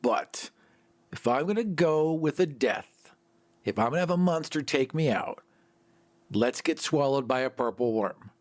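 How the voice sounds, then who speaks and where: Dude on a podcast, mid pitch, blunt opinions, repeats stuff for emphasis, super dry audio. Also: male voice, lively intonation, measured pace, no room sound. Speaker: podcast host. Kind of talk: casual podcast chat.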